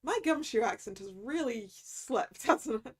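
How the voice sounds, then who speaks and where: A woman's voice: fairly high pitch, animated, a little slow, husky. A woman giving casual commentary as she streams a playthrough of a video game. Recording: music playing behind the voice. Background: game background music.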